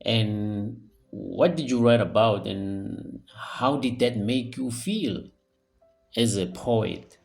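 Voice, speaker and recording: A man's voice: on the low side, slow, gravelly, a little mellow, soft background music. A man hosting a podcast interview, introducing his guest and putting questions to him. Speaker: podcast host.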